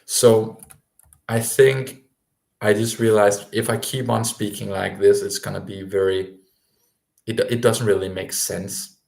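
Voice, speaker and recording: A man's voice: lowish voice, flat delivery, a little slow, creaky, a little mellow, roomy sound. A man coaching language learners in a livestream, talking with rambling enthusiasm and lots of fillers. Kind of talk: livestream talk to viewers.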